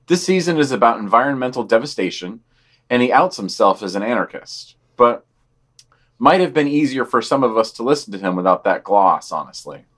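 A man's voice: medium pitch, fairly bright tone. Man giving dry offhand news commentary. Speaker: podcast news reader. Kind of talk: reading news summaries with commentary.

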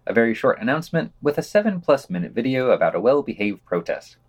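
A man reads news for a podcast from a script. His voice sits at a middle pitch and swings in a lively way, with dry, wry commentary slipped in between the quotations. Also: fast. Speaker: podcast co-host reading news.

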